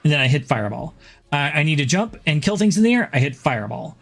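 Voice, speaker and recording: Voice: medium pitch, very animated, quick pace, soft background music. A game streamer chatting casually about a build, laid-back and often laughing. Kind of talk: casual gameplay chat.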